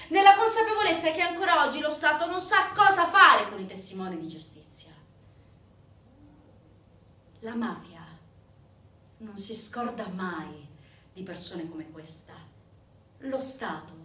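Female stage narrator, grave and measured, higher-pitched, dramatic pauses, distant roomy sound. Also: a bit bright. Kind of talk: scripted theatrical narration. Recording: echoey room, distant mic, music.

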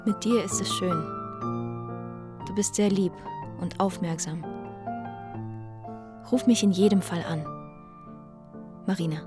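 A woman's voice: on the low side, slow, pauses often, music in the background. An actress in a radio drama playing a hospitable, wistful widowed mother who speaks intimately and candidly. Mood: tender.

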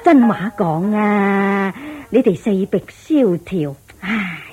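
A woman playing a blunt, doubtful older village matchmaker in a radio drama, sighing and lecturing in drawn-out Hong Kong Cantonese phrases. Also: medium pitch, expressive, darkish tone, breathy edge. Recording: dull lo-fi radio drama with music.

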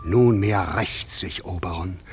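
An actor playing the proud, commanding fairy king in a classic verse radio play, with a measured, stately delivery. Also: low-pitched, over music. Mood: vengeful.

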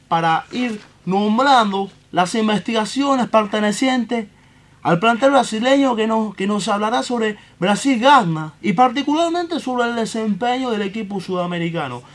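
High-pitched, bumbling Cuban radio host, played by a man. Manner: rambling, repeats phrases, bumbling. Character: excitable, tippling Cuban football show host, male. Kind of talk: comic sports radio presenting.

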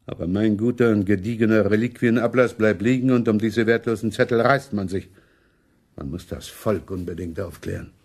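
A man's voice: lowish voice, normal speed, darkish tone, natural voice. A man acting a money-minded, imperious German prince in a radio play, sardonic and complaining in manner. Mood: aggrieved.

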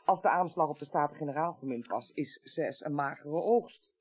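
A male actor as an efficient parliamentary clerk in a 1980s radio play. The voice is high for a man, composed and self-assured, with crisp, analytical reasoning. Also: moderate pace, a little hiss or hum, close to an everyday voice.

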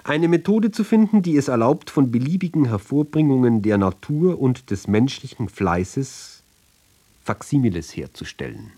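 A man giving a literary reading of narrative poems, with deliberate, measured phrasing and pauses between short fragments. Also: medium pitch, sing-song, dark tone, slightly rough.

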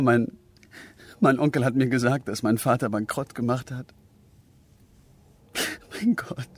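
An actor playing a sensitive young gentleman in anguished, tearful grief in a radio drama. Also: medium pitch, slightly rough.

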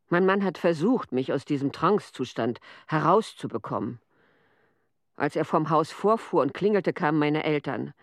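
High, grave male witness voice by an actor. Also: dry recording, studio quality, lightly acted. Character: shaken survivor recounting a family's flight. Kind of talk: documentary radio drama testimony.

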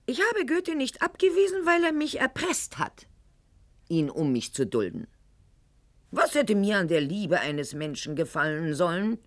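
A woman's voice: fairly low pitch, full character voice. Proud, theatrical court lady, swooping intonation. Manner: eloquent, mannered, formal diction with dramatic pauses and sudden outbursts. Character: proud, articulate 18th-century Weimar court lady, indignant and self-justifying. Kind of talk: theatrical radio play monologue.

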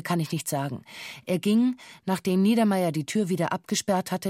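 A slightly low female voice acted for radio gives a hostage's witness statement. She is sober and exact, with formal, official wording. The recording is dry, as in a documentary radio play. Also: darkish tone, no echo, close to an everyday voice. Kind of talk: documentary radio drama, reading a police testimony.

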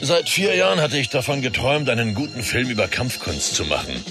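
A man's voice: mid-range voice, huge pitch swings, fairly quick, over music. A man playing an enthusiastic film producer looking back in scripted, documentary-style radio play testimony. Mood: admiring, earnest.

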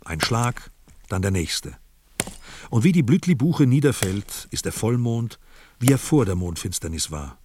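A man's voice: lowish voice, very animated, measured pace, mellow, a little hiss or hum. A man narrating a grim village tale in a radio play with grave, dramatic delivery.

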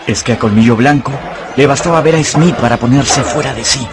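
A man's voice: medium pitch, a little fast, heavy background noise, natural voice. A man narrating a grim adventure story as a dramatic, scripted radio play. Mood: grave.

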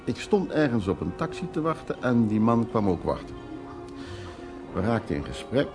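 A man's voice: on the low side, sing-song, normal speed, music in the background. An actor playing an evasive, hard-drinking man in a radio drama, telling his stories in a rambling, teasing way. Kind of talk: Dutch radio drama acting.

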